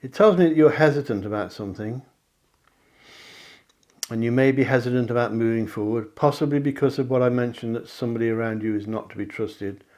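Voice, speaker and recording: A man's voice: mid-range voice, fairly quick, a little mellow. Chatty man giving a card reading. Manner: chatty, reassuring, with asides and self-promotion. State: slightly hoarse. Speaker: psychic reader. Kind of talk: tarot card reading to camera.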